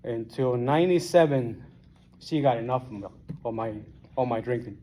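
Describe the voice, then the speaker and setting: Man, Guatemalan Spanish accent, 40s, halting plain speech, mid pitch, faint background noise. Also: warm tone. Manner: halting, plain-spoken, restarts often. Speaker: AA meeting speaker. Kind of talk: personal recovery story.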